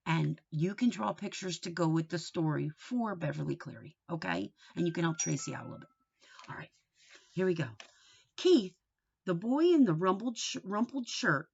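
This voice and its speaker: Woman reading to children, slightly dull recording. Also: fairly low pitch. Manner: simple explanatory phrasing, addressing young listeners with questions. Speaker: teacher reading aloud to children. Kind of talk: read-aloud lesson for young children. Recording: dull, lo-fi sound.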